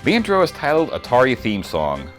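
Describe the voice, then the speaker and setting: A man's voice: mid-range voice, wildly swooping pitch, brisk. A man in his early forties narrating a scripted review with wry, dry humour. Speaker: record reviewer, presenter. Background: music.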